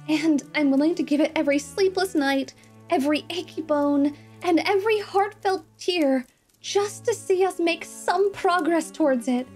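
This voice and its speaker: A woman playing an earnest, idealistic, excitable young female adventurer in a fantasy roleplay, with a slightly nasal voice. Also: extremely high pitch, voice pushed up. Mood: impassioned, sincere. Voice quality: nasal from a cold. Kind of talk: roleplay audio drama, cute.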